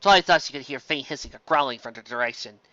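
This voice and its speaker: Bright, forceful storyteller, dry recording. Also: medium pitch, quick pace, no room sound, highs cut off. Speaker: storyteller reading fan fiction aloud. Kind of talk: dramatic scripted story narration.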